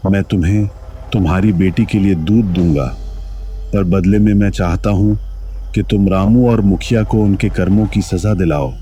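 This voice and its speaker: A man's voice: low-pitched, fairly even intonation, normal speed, dark tone, natural voice. A man playing the solemn, earnest ghost of an honest village milkman in a Hindi cartoon story. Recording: music behind the voice.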